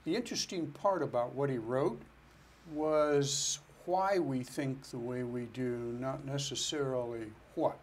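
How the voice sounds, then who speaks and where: A man's voice: medium pitch, unhurried. Earnest man explaining finance, faint hiss. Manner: earnest, explains step by step with restarts. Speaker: financial planner guest. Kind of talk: explanatory interview answers.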